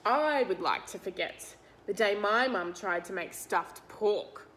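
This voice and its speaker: A woman's voice: mid-range voice, measured pace, a bit bright, slight background noise. An Australian woman reading a picture book aloud to young children, expressively, talking to them directly with simple explanations and questions.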